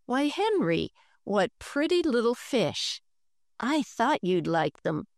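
A woman's voice: fairly high pitch, sing-song, takes it slowly, no room sound, studio quality, voice pushed up, full character voice. A woman voicing a patient, wary mother. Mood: surprised. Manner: patient, mildly worried.

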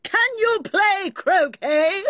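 A man voicing a shrill, furious, tyrannical Queen who barks commands in a storybook reading. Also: lively intonation, extremely slow, a bit bright, no room sound, highs cut off, exaggerated voice. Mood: imperious. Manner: imperious, barking commands.

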